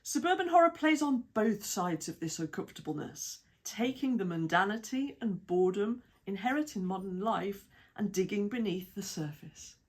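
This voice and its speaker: A woman with a British accent reading an article aloud in a measured, even way, with lists of titles and dates. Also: medium pitch, breathy edge. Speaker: reader of an article. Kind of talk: read-aloud essay narration.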